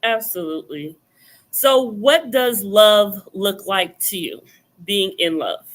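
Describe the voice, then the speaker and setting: A woman's voice: mid-range voice, lively intonation, slow. A woman hosting a conversational interview, putting questions to a guest. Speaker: interviewer, host.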